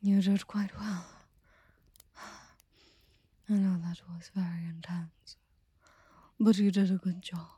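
Woman, young adult, close-mic audio roleplay: slow, dark-toned, commanding whisper. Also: fairly low pitch, flat delivery, slightly rough, no room sound. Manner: commanding and teasing, often whispering; soft and gentle near the end. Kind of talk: intimate scripted audio roleplay.

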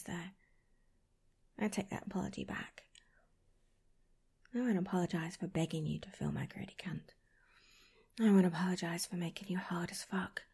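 A young woman speaking an intimate monologue in a whispery, breathy voice, pleading and teasing close to the microphone. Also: on the low side, dark tone. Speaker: audio performer.

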